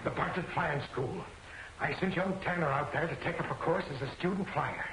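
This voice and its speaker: An actor playing an excitable, blustery newspaper legman in an old film serial. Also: a little high, fairly quick, close to an everyday voice. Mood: eager, important. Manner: blustery, indignant. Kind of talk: 1940s film serial acting. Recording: roomy, noisy old film soundtrack.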